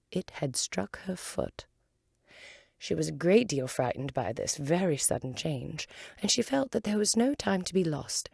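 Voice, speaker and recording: A woman's voice: sits low, vocal fry, darkish tone, slightly rough, no echo, clean studio sound. A woman narrating a children's story expressively as a volunteer reader.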